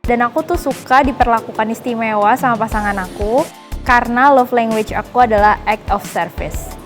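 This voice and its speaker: Twenties woman, casual and polite, somewhat high, music bed. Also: moderate pace. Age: 20s. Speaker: contestant. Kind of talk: casual self-introduction.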